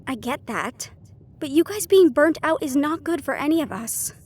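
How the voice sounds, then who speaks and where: A woman playing a brisk, caring and exasperated magical ally, candid and expressive, in a fantasy audio roleplay. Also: sits high, pitched above the performer's own voice. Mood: concerned.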